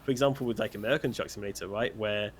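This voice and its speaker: A man's voice: lowish voice, rapid-fire. A man hosting a livestream, chatting casually with a guest and asking questions, with lots of fillers. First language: native English speaker. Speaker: stream host and interviewer. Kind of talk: casual streamed chat. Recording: steady background noise.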